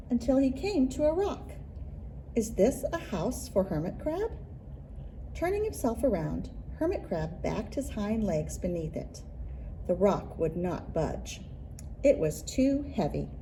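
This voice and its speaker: A woman reads a children's picture book aloud in an expressive, deliberate storytelling manner, leaning into rhythmic phrases. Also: mid-range voice, a little hiss or hum, poor audio. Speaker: children's librarian and story reader.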